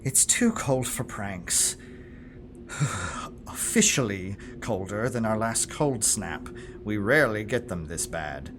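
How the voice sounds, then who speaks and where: An ancient, fussy museum curator in a fantasy audio drama. He sounds put-upon and exasperated, his mid-pitched voice swinging up and down over clear background noise. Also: expressive, takes it slowly. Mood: weary exasperation.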